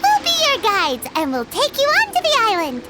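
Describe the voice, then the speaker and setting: Childlike fairy sidekick, very high voice, lively swooping intonation, bubbly, chatty, video game. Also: female voice, normal speed, very noisy, full character voice. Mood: cheerful. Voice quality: squeaky, childlike. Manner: bubbly, exclamatory, refers to self by name. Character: small excitable floating fairy companion, childlike, chatty and helpful. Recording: background music under the voice.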